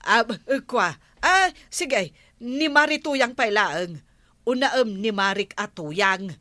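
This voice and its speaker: A fussy, nervous village woman in an Ilocano radio comedy. Her voice is bright and forward, with slow, hesitant phrasing full of exclamations. She speaks with an Ilocano accent in a dry studio sound. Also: fairly high pitch, animated, no echo, full character voice.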